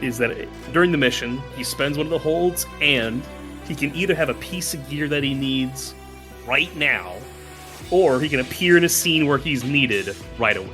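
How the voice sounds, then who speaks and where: A man speaks as the game master of a tabletop podcast, in a mid-range voice. He recaps and explains in a casual way, with clear music underneath. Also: medium pitch, lively intonation, normal speed.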